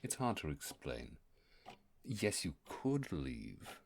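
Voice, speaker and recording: Man as a very deep-voiced ancient creature scholar. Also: wildly swooping pitch, slow pace, vocal fry, a little hiss or hum, heavily acted. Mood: apologetic, fascinated. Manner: pompous wordy diction with fumbling hesitations. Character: ancient scholarly furry creature, bookish, awkward, eager to impress. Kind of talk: immersive roleplay audio monologue.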